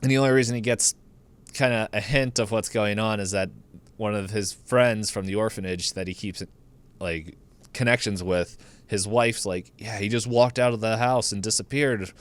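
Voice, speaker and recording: Man rambling through a clear-voiced comic recap. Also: fairly low pitch, steady tone, moderate pace. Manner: rambling, acts out characters' lines in paraphrase; laughs through the telling. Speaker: podcast co-host recapping a movie. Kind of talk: casual chat, comedic movie recap.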